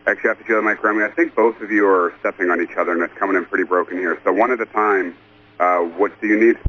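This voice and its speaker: A man's voice: on the low side, fairly even intonation, fast. A man working as an air traffic controller, giving procedural instructions and repeating callsigns over a radio transmission. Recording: muffled, lightly noisy air traffic radio.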